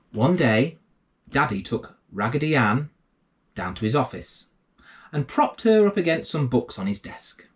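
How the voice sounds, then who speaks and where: A man hosting and reading a children's bedtime story aloud, expressively and addressed to young listeners. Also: medium pitch. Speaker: bedtime story reader and host.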